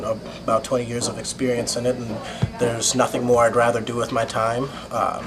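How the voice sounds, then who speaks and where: A young American man, a stage actor, speaking earnestly and hesitantly in an interview. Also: medium pitch. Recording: noticeable background noise and room ambience.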